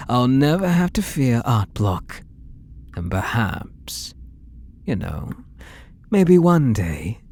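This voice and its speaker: Guy doing a british yandere painter, asmr, slow breathy murmur, super possessive, pitch swoops around. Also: heavily acted. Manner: slow, breathy, doting, menacing murmur with soft whispers. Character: obsessive, possessive male painter doting on his captive muse. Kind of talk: ASMR roleplay, intimate dramatic monologue.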